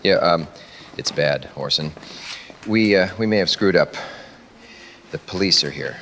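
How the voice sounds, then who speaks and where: Nervous studio man, low-pitched, slow and hesitant, deferential, faint noise, audio drama. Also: a bit bright. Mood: worried.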